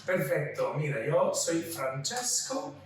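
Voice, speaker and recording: A man's voice: on the high side, sing-song, slow, a crowd in the background. A man with an Italian accent chatting casually and jokily as a talkative podcast co-host. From Calabria, southern Italy. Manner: talkative, jokey. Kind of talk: casual chat. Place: living room at home. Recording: echoey room, distant mic, clear noise.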